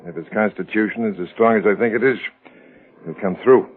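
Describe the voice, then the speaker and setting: A man playing a steady, authoritative doctor with clipped commands in a 1940s radio drama. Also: lowish voice, brisk, lightly acted. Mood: steady, relieved. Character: steady, authoritative underworld male doctor at work. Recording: muffled vintage 1940s radio recording.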